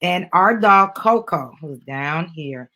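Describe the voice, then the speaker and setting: A middle-aged woman with a slightly husky voice, reading a children's book aloud and chatting with a child and audience. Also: lowish voice, lively intonation, slow, bright, forceful tone. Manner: addresses the child and audience, prompting with questions. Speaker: mother reading a children's book with her daughter. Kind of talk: dramatic storybook reading with casual asides.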